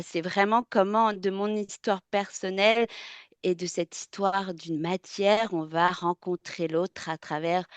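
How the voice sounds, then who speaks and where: A woman from France, a textile artist giving a webinar presentation, speaking thoughtfully and often pausing with fillers and restarting words. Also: medium pitch, no room sound.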